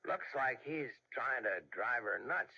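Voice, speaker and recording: An actor playing a dogged, sardonic newspaper reporter in an old-fashioned radio mystery, gravelly, wry and probing. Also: male voice, medium pitch, lively intonation, a little slow, bright, forceful tone, full character voice. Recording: muffled old radio recording.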